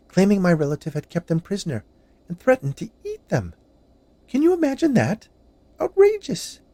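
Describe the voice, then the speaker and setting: A man's voice: mid-range voice, warm tone, dry recording, pitched above the performer's own voice. A man voicing a century-old witch confectioner in a story reading, gushing and theatrical with a vengeful streak. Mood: chatty, fanciful, then indignant. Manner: gushing, theatrical, overly polite. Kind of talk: dramatized short story reading.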